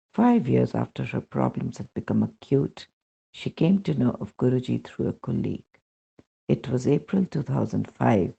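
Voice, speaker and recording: Gravelly, mellow Indian man reading aloud. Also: medium pitch. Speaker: reader of devotional testimony. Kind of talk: scripted narration, read aloud. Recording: dull, muffled lo-fi recording.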